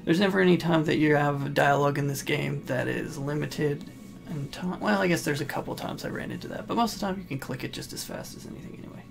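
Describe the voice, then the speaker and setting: A man's voice: on the high side, fast talker, creaky. A man hosting a casual let's play, commenting in an offhand, flippant way. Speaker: gaming host. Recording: noisy voice-over mixed with game sound. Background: video game sound.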